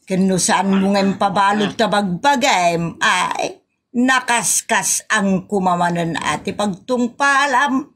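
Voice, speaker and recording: A woman with an Ilocano accent playing a reproachful village woman in an audio comedy-drama, with drawn-out, exclamatory lines. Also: medium pitch, a bit bright.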